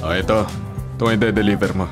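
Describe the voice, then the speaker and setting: An actor playing a brusque, secretive customer in a radio drama, giving orders with a Filipino accent. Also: male voice, fairly low pitch, steady tone, fast, over music, lightly acted. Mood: curt, evasive. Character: curt, secretive man sending a suspicious parcel. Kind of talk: Tagalog radio drama acting.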